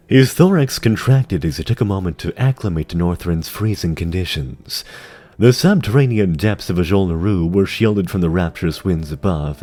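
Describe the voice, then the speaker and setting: A deep-voiced man delivers dramatic, scripted fantasy narration. His voice is husky and moves expressively in pitch as he tells the story. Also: mellow.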